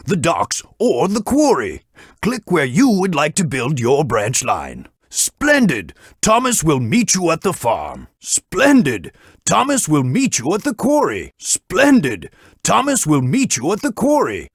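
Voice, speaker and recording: A brisk, kindly older railway boss for a children's game, guiding the player with encouraging orders. His voice sits a touch high and swoops widely in pitch, with a husky edge. It is recorded dry and close in a studio. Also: dry recording, exaggerated voice. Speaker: game guide giving instructions. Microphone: studio microphone.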